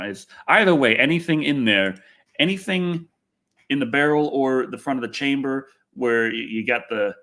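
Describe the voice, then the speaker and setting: A man hosting a casual livestream chat, rambling and halting, often repeating his phrases and speaking bluntly. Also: mid-range voice, fairly bright tone. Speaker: podcast host.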